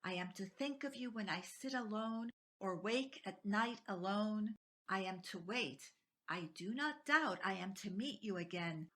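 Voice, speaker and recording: A woman reciting poetry expressively, phrase by phrase in a measured way. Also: mid-range voice, fairly bright tone. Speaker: poetry reader.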